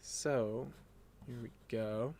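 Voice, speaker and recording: A man's voice: mid-range voice, fairly even intonation, drawn-out words, a little mellow, slight background noise. A man, a little phlegmy, designing live and musing aloud in a rambling casual way with fillers and restarts, at a desk mic in his home workspace. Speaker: graphic designer streaming his work.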